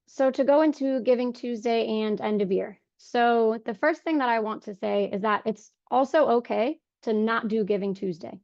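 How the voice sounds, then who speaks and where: A young woman with a voice hoarse from a cold, giving an earnest webinar talk on fundraising with many ums. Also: on the high side, a little mellow, no echo. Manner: frequent ums, earnest and conversational. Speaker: fundraising director. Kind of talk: webinar presentation. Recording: dull lo-fi dry sound.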